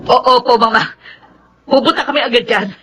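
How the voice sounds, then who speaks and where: A woman's voice: fairly high pitch, fairly quick, over loud music, natural voice. An actress with a Filipino accent playing a worried, defiant mother in a radio drama, emotional and pleading. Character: worried, defiant mother, a doctor torn by family.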